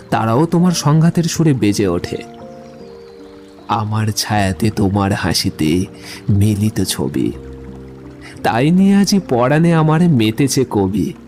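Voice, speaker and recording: A man's voice: medium pitch, dark tone, natural voice. Man acting a lyrical, brooding poet lover over music. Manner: lyrical, reflective, measured recitation. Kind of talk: literary audio drama with poetry recitation.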